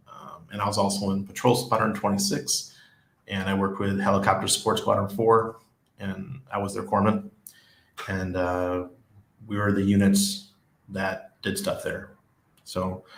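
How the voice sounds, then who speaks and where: A man's voice: low-pitched, creaky, fairly bright tone. A man in his forties with a Western New York accent, casually chatting on a livestream, chuckling often and reading viewer comments aloud. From Western New York, USA. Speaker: livestream host. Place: small home room with neon shelf lights. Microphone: desk mic on a stand beside him. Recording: echoey room.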